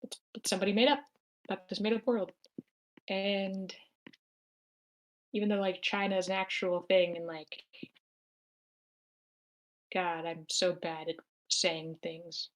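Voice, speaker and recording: A woman's voice: lowish voice, fairly even intonation, measured pace, long pauses, rough recording. A woman talking casually in an online group discussion, searching for words as she explains her thoughts. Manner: informal, searching for words, mild swearing. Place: online meeting.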